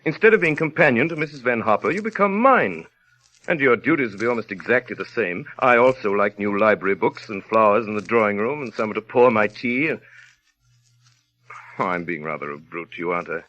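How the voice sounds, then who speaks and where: An actor playing a brusque, sardonic well-to-do gentleman in a 1930s radio drama, with diction and a clipped, imperious, teasing manner. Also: fairly low pitch, lively intonation, a little fast. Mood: wry, tender. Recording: dull, lo-fi vintage radio recording.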